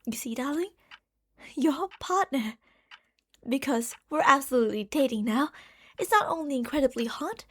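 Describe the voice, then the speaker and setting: A woman playing an obsessive, delusional genius scientist girlfriend in an ASMR roleplay, doting and gushing, shifting between hushed and excited. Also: high-pitched, moderate pace, pauses often. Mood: smitten, boastful. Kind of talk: ASMR roleplay monologue addressed to the listener.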